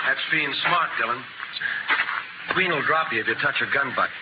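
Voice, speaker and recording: A man's voice: mid-range voice, moderate pace, natural voice. An actor playing a cocky, menacing young rancher's son turned gunman, in 1950s radio western style with a taunting drawl. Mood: commanding. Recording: noisy, muffled 1950s radio recording.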